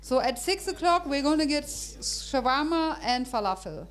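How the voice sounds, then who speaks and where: A woman's voice: sits high, expressive, normal speed. A woman moderating a panel event in a chatty, hesitant way, with many fillers and restarts.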